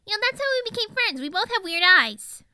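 Very bright, high, zany little girl pony. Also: very animated, quick pace, no room sound, studio quality, exaggerated voice. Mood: earnest, proud. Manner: chatty, rambling, melodramatic emphasis. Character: zany ten-year-old girl pony, chaotic, boastful, eager. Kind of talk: improvised cartoon character monologue.

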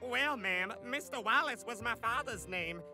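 A man's voice: extremely high pitch, expressive, measured pace, over music. An actor playing a naive, folksy townsman with a corny, polite manner in a game parody. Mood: wholesome, flustered. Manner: gee-whiz, corny, polite.

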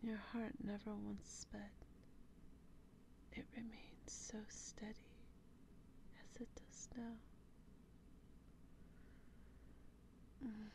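A woman playing a teasing, wistful female vampire in a breathy, deliberate ASMR role play. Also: mid-range voice, flat delivery, poor audio. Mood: intimate, teasing. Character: female vampire, teasing, wistful, intimately affectionate theater haunter.

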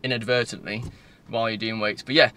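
Bright-voiced young Northern English man chatting. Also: on the low side, fairly even intonation, fast talker. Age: 20s. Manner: informal, loose, digressive. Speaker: vlogger. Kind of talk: casual rambling vlog chat. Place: inside a parked car. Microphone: phone at arm's length. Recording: faint car-interior background noise.

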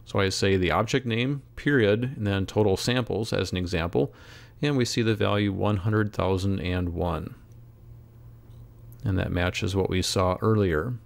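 A man narrating a software tutorial as an instructor, in a measured, step-by-step way. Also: fairly low pitch, creaky, dry recording. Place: close, dry voice-over for screen recording.

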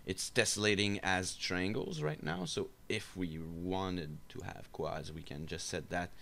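A man giving an unscripted software screencast tutorial, explaining step by step in a halting, hesitant way. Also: deep voice, vocal fry, slight background noise. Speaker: tutorial instructor. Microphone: voice-over for a screen recording.

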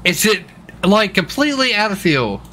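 A man's voice: high voice, big pitch swings, moderate pace, bright, forceful tone, a little hiss or hum. An American man in casual gaming radio chatter, with a folksy, easygoing manner. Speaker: streamer playing a police officer in online roleplay.

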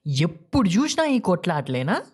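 A man's voice: high voice, big pitch swings, normal speed, darkish tone, slightly rough, voice pushed up, full character voice. A man voicing an exasperated older farmer and father in a story, with colloquial Telangana Telugu and a weary, complaining manner. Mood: exasperated scolding.

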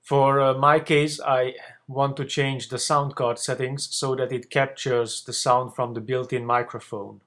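A man talking through an unscripted software screencast tutorial in a hesitant, halting way, as a video blogger would. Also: mid-range voice, flat delivery. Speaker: ham radio video blogger demonstrating software. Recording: clear background noise with hum.

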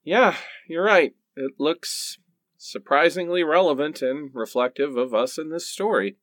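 A man's voice: on the high side, a little slow, fairly bright tone, no room sound, studio quality. A man playing an exasperated, melodramatic, sarcastic character in a comedic radio play. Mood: resigned.

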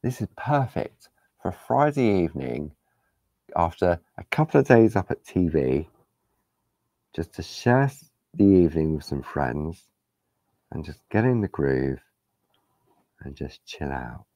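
A man hosting a live craft-along, chatting casually and rambling as he works, with a hoarse voice after days of talking. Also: deep voice, very animated, slow, pauses often, mellow, no room sound. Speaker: craft demonstrator. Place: craft studio table. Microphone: overhead camera on hands, speaker unseen.

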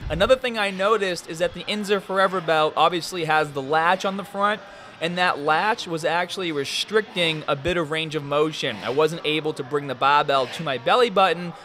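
Bright-voiced young man coaching a workout. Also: on the high side, a little fast, faint music behind. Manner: energetic, coaching. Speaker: fitness coach. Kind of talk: workout instruction voice-over. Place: large gym, indoors.

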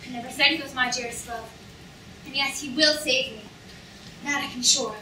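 A woman's voice: high voice, steady tone, brisk, sharp tone. A young woman playing a proud, grieving, defiant young princess in a staged reading. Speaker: actor in a student staged reading. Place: lecture hall stage, indoors. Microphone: far camera in audience. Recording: echoey hall, distant audience-camera mic, noisy.

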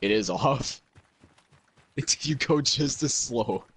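A man's voice: mid-range voice, slow pace, a little hiss or hum. A young man chatting over voice chat while playing a shooter game, laughing a lot and swearing freely. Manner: laughing, profane, excitable. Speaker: gamer on voice chat. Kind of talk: casual gaming banter.

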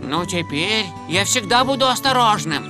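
A woman voicing a naive, playful, easily scared young tow truck in a children's cartoon dub, excitable and whimpering. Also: medium pitch, normal speed, over music, lightly acted. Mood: sheepish.

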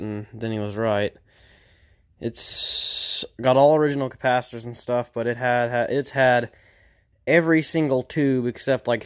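A man giving a casual, off-the-cuff narration as a hobbyist repairer, speaking informally and haltingly into a handheld camera in an indoor workshop. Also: mid-range voice, smooth. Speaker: vintage TV repair hobbyist narrating his video.

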